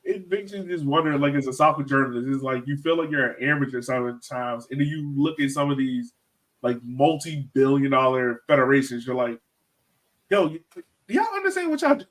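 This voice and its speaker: A man's voice: medium pitch, lively intonation, fairly quick, fairly bright tone, low-quality recording. A man hosting a podcast interview in a casual, enthusiastic, slangy way, talking like he is chatting with a friend. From Jamaica. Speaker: podcast host interviewing a guest.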